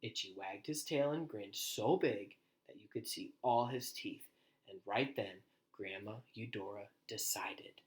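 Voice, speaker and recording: A man reads a storybook aloud in an animated, deliberate way, as if for young children. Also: on the low side. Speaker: storybook reader. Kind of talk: expressive children's read-aloud with quieter asides.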